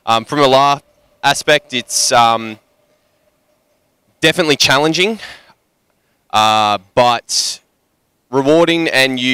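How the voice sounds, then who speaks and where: A young man speaks earnestly into a handheld mic outdoors. His voice sits low but sounds bright and very clear. The sound is dry and close. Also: dry recording. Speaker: interviewee, larp team leader. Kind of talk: casual interview answer. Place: outdoors at a convention.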